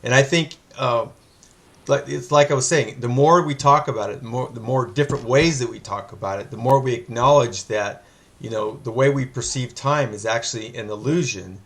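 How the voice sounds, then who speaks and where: A man's voice: medium pitch, moderate pace. A man hosting an interview, chatting casually with lots of hesitations and the odd joke. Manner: rambling, many fillers, joking. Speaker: interview host.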